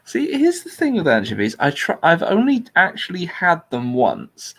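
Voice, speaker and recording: A man's voice: on the high side, huge pitch swings, moderate pace, fairly bright tone, husky. A man hosting a casual livestream chat in a blunt, jokey way. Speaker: livestream host.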